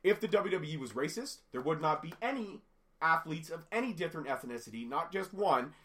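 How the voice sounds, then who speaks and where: A man co-hosting a casual podcast, raspy from a cold, talking at length in an animated, rambling way. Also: fairly high pitch, brisk. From Canada. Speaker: podcast co-host. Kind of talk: casual wrestling podcast chat.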